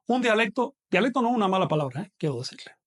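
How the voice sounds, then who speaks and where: A man's voice: sits high, very animated, rapid-fire. A man with a non-Mexican Spanish accent teaching a language class online, explaining at length and checking in with his students. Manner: explanatory, addresses students directly with check-in questions. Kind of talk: online lecture.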